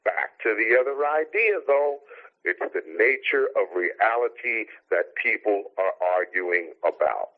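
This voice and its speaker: A man's voice: on the low side, animated, measured pace. An American man in his sixties giving his opinions in a live radio discussion, speaking emphatically and rhetorically. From United States. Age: 60s. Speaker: radio show guest. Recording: narrow, tinny telephone line on radio.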